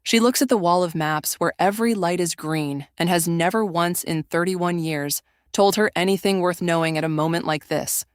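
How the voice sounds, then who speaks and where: A narrator reading an audio drama in a measured, literary manner. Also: mid-range voice, smooth, dry recording.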